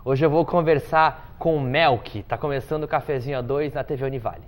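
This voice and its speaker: A man's voice: medium pitch, brisk, very noisy. A young man with a Brazilian Portuguese accent hosting a casual interview, joking and teasing his guest. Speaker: talk show host.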